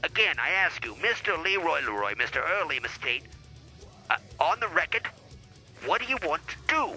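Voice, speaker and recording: Man as crude, pompous boozy male lawyer; sharp, forceful, somewhat high voice; comedy podcast with faint music. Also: big pitch swings, normal speed, heavily acted, low-quality recording. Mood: impatient. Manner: pompous, blustering, foul-mouthed. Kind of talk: improvised comedy sketch.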